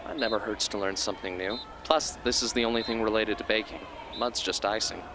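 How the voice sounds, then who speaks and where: A man's voice: lowish voice, steady tone, fairly quick, lightly acted. A man playing a mild, good-natured teenage boy in a radio drama, easygoing and self-deprecating. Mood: friendly. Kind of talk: hobby radio drama acting. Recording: clearly noisy background ambience.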